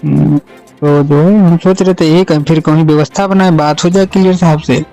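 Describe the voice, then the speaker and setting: Male caller, notably high voice, agreeable colloquial phone chat, faint music behind. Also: fairly even intonation, moderate pace, vocal fry, mellow, poor audio. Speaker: caller, husband of a job applicant. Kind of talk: casual phone conversation.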